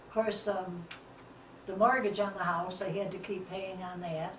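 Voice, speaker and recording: A very elderly American woman in her nineties recalling the past informally, pausing often as she searches for details. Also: on the low side, fairly even intonation, fairly bright tone. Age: 90 or older. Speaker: interviewee, family elder. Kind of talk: informal oral history recollection. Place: echoey room, away from the microphone. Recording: roomy, distant, light background noise.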